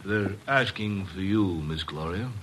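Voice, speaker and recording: An actor playing a stiff, forbidding butler with a formal, curt manner in an old-time radio drama. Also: male voice, low-pitched, lively intonation, unhurried, background noise. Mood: deferential.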